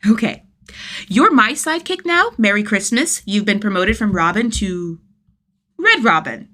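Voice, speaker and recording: A woman's voice: mid-range voice, very animated, unhurried, sharp tone, voice pushed up. A woman as a cocky, teasing young male vigilante. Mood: playful, bossy. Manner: teasing, sardonic, sweary. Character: cocky, gruffly fond young male vigilante crime lord.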